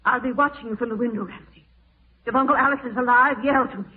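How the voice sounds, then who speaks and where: A woman's voice: on the high side, fast, close to an everyday voice. An actress playing a suspicious, anxious, embittered woman in a 1940s radio horror drama, speaking in an accusing, demanding way. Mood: insistent, suspicious. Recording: tinny old-time radio broadcast.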